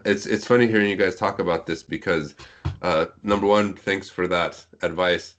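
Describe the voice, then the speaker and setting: Low-voiced man, casual explainer. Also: steady tone, moderate pace, fairly bright tone. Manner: self-deprecating, explanatory. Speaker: guest, literary translator. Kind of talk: casual podcast discussion. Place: at home on an internet call.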